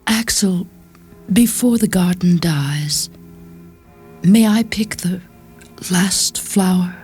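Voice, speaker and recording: An actress playing a gentle countess who appeals to her husband in a dramatized short story. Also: on the low side, takes it slowly, breathy edge, faint music behind, heavily acted. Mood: tender, wistful.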